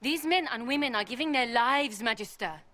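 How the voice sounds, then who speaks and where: An actress playing a stern, proud and resolute warden commander in a video game, speaking in a solemn, declamatory way. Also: female voice, fairly high pitch, brisk, sharp tone. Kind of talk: dramatic video game acting.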